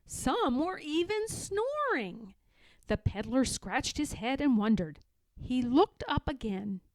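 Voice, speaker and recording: A woman reading a children's story aloud in a dramatic, deliberate way, stressing key words. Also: sits high, sing-song, darkish tone, no echo. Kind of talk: children's read-aloud narration.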